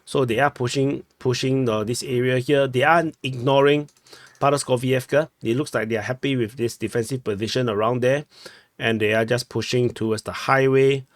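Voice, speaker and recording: A young Singaporean man with a Singaporean English accent, talking through war maps in a loose, chatty way. He corrects himself mid-sentence and keeps asking "no?". His voice sits at a middling pitch and sounds close and dry, recorded on a desk webcam in a small room. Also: steady tone, moderate pace, dry recording. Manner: chatty, self-correcting, ends with "no?". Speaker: war map analyst. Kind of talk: casual map commentary.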